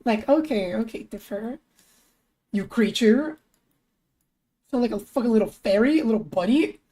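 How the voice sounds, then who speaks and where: A young woman streamer chatting casually as she works, thinking aloud, her voice lower than usual today, with bursts of high excitement. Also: moderate pace. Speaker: streamer and artist. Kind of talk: casual live-stream chat while working.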